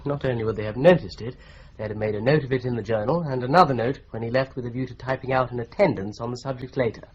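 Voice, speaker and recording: A man's voice: mid-range voice, quick pace, a little mellow, close to an everyday voice. An actor playing a wry, lightly ironic male solicitor in a radio drama. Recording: dull lo-fi broadcast recording.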